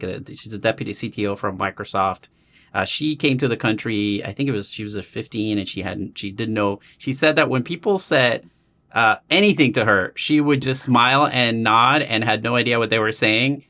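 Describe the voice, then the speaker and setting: A man's voice: medium pitch, bright, forceful tone. A man hosting an interview podcast, asking long, rambling questions in a casual, digressive way. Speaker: podcast host.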